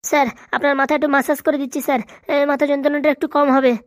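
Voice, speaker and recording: Casting: female voice for eager male sidekick in comic cartoon, fretful rapid chatter, dry close sound. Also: high voice, level tone, dry recording, natural voice. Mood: worried. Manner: deferential, fretful. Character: eager, fussing, devoted male helper of a schoolteacher.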